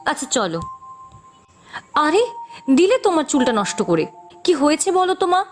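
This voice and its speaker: A woman's voice: sits high, big pitch swings, normal speed, faint music behind, voice pushed up. A woman voicing a vain, haughty eighteen-year-old village girl in a cartoon, pert and dismissive. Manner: dismissive, pert, self-admiring. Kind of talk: fairy-tale cartoon dialogue.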